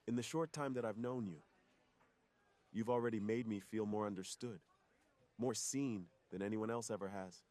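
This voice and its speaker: A gentle, secretive male superhero, acted by a man in an intimate ASMR roleplay. His voice is fairly low, and he talks in an earnest, confiding way, weary of his double life. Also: natural voice. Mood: confiding, wistful.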